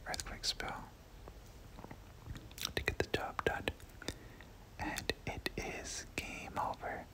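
A person whispering casual, slangy ASMR gameplay commentary, muttering reactions and repeating short phrases. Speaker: gaming video creator.

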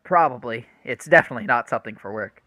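A man's voice: medium pitch, animated, fast talker, bright, forceful tone, no echo. An actor playing an awkward, withdrawn young man in a radio play, with hesitant, short replies. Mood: awkward, guarded. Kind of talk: radio play acting, naturalistic dialogue.

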